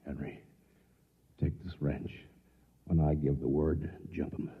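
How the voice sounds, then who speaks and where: An actor playing a determined, alarmed ordinary man in mid-century American radio drama, with a husky, urgent, earnest delivery. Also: extremely low pitch, level tone, measured pace, full character voice. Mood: hushed, tense. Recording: muffled, reverberant old radio recording.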